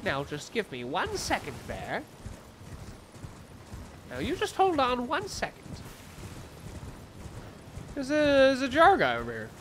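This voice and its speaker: Young male streamer, stuffy nasal voice, high and animated, loud game sound under him. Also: slow, long pauses, noisy background. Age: young adult. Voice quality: nasal, congested. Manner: rambling, swings from mumbling to loud outbursts. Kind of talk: live gameplay commentary. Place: small home room.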